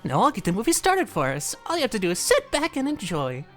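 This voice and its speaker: A woman voicing an impish male jester made of dark matter, giggly with singsong teasing, in a fan audio drama. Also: mid-range voice, wildly swooping pitch, fast talker, faint music behind. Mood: sweetly mocking.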